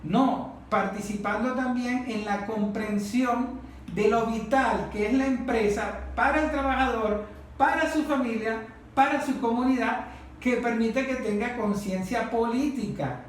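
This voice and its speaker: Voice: mid-range voice, measured pace. The speaker has a Venezuelan accent and leads a political discussion with emphatic, didactic delivery, repeating phrases to drive points home. The recording is heavily reverberant, with faint noise underneath. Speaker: discussion leader. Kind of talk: impassioned political talk to a group. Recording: very echoey room, faint noise.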